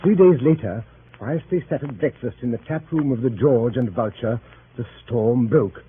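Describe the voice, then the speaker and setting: A man's voice: mid-range voice, normal speed, close to an everyday voice. A man narrating a 1930s radio drama in a formal, storybook manner. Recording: tinny, noisy 1930s radio recording.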